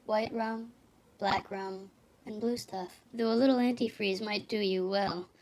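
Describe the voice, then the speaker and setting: A woman's voice: mid-range voice, fairly even intonation, a little slow, a little mellow, slight background noise, close to an everyday voice. An actress playing a sultry, teasing lounge singer and probing confidante in a noir audio drama, arch and playful in her delivery. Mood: playful, coaxing.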